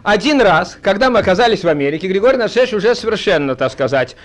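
A man speaking to an audience in an anecdotal, theatrical way, with halting, digressive phrasing. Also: sits high, very animated. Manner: theatrical storytelling, acting out dialogue. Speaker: theatre colleague giving a reminiscence.

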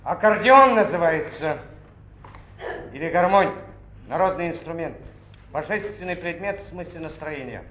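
An actor playing a boastful anarchist sailor in a 1960s film, flippant and folksy, full of old sayings. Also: male voice, fairly high pitch, expressive, moderate pace, long pauses, fairly bright tone. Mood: cocky, flippant. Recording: roomy old film soundtrack with faint noise.

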